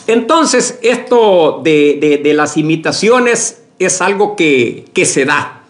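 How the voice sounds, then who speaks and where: A pastor preaching a sermon to his congregation, speaking slowly with many fillers and restarts, often asking for agreement. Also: a little high, expressive. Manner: addresses the congregation as brothers, asks for agreement.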